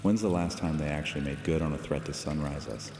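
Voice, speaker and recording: A man's voice: extremely low pitch, quick pace, a little mellow, a little hiss or hum. A man playing a cocky, carefree, flirtatious vampire in an immersive fantasy audio drama, teasing and lazily seductive. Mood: cocky, dismissive. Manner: teasing, lazy, seductive, flippant. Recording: long reverb, music bed, water sounds.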